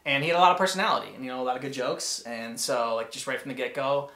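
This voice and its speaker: A man in his thirties chatting casually as a reaction host, with a halting, enthusiastic delivery. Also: mid-range voice, steady tone, sharp tone. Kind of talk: casual commentary chat. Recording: roomy indoor echo.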